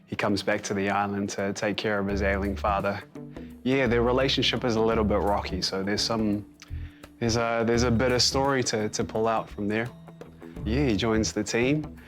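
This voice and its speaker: A man with a New Zealand accent, an actor talking in a relaxed, slightly hesitant way. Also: on the low side, moderate pace, over music. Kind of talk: featurette interview.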